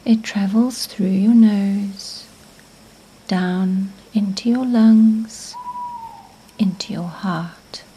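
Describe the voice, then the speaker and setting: A woman narrating a guided meditation in a gentle, soothing, hushed manner, with phrase endings fading toward a whisper. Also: mid-range voice, steady tone, extremely slow, slight background noise, low-quality recording.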